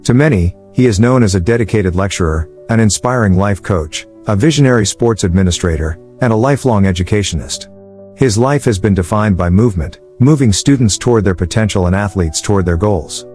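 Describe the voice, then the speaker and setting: A man reading a measured, solemn scripted narration over a music bed. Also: fairly low pitch, darkish tone.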